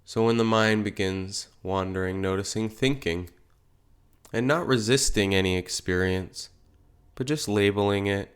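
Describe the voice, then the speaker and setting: A man leading a guided meditation as a teacher, speaking in gentle, unhurried phrases with pauses. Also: fairly low pitch, clear, resonant tone.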